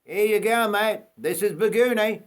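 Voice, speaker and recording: A friendly railway conductor from a storybook, acted by a man. He talks in a blunt, matey, colloquial way. His voice is pitched up and hearty, as if helping a young passenger along. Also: moderate pace, clean tone, close to an everyday voice.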